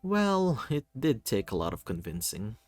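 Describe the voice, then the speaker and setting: A man voicing a calm, welcoming male doctor in a visual novel reading. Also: on the low side, a little slow, no room sound, soft background music. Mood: friendly, relaxed. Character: friendly, composed male hospital doctor.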